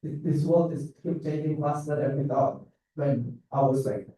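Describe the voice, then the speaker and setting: A man from Indonesia speaking on a panel, pausing and restarting phrases often in loose non-native grammar. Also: a little high, fairly even intonation, dark tone. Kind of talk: panel discussion. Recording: echoey hall, mic at a distance.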